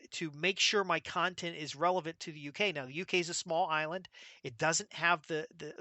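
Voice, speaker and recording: An American man hosting a solo business podcast, talking in a brisk, emphatic way and rattling off lists. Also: a little high, bright, forceful tone, dry recording. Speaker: podcast host.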